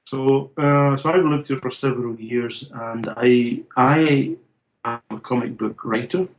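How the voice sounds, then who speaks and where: A man's voice: mid-range voice, unhurried, a little hiss or hum, low-quality recording. A Scottish man from Scotland, a comic book writer being interviewed over an internet call, with dry, wry humor. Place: home in a city in Japan.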